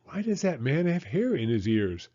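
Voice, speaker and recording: A man voicing an excitable, curious little girl in a storybook reading. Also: medium pitch, normal speed, highs cut off, pitched above the performer's own voice. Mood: eager, curious.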